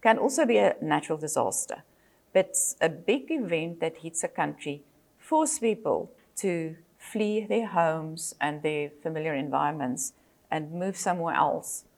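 An older South African woman, a native Afrikaans speaker, giving a measured lecture as a psychologist, with frequent fillers and self-corrections. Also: low-pitched, vocal fry. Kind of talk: presentation lecture.

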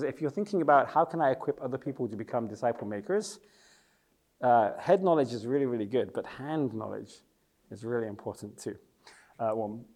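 A man's voice: mid-range voice, darkish tone. A middle-aged Englishman leading a teaching session in a chatty, joking way, asking the audience questions. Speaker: youth worker and church leader.

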